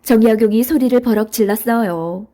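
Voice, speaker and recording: A woman's voice: fairly high pitch, brisk, dark tone. A woman narrating a children's storybook in a scripted read-aloud style.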